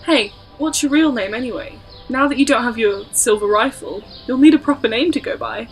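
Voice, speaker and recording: A woman's voice: a little high, a little fast, soft background music, natural voice, low-quality recording. A woman playing an inquisitive young female companion of a time traveller in a fan audio drama. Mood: friendly, questioning.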